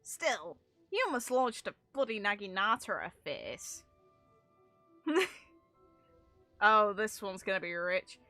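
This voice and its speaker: A woman with a bright, forward voice at a medium pitch, reacting casually to a video game. She is chatty and slangy, and the sound is close and dry. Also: big pitch swings, slow pace, long pauses, dry recording, faint music behind. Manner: informal, chatty, with British slang and mild swearing. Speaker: streamer reacting to game voice lines.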